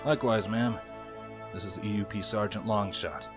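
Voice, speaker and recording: A formal bat-pony officer acted by a man talks in a slightly low, understated voice. He is composed and courteous, a storybook soldier heard over faint music. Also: steady tone, close to an everyday voice. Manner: measured, polite, understated. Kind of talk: audiobook character voice in fan fiction. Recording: music playing behind the voice.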